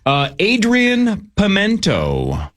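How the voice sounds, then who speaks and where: A man with a lively, swooping voice hosts a sports talk show. He reads names out slowly and teases his co-hosts. The studio sound is dry and close. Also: high voice, dry recording. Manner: playful, teasing quizmaster with drawn-out name reading. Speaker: radio show host running a quiz. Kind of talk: casual sports radio banter.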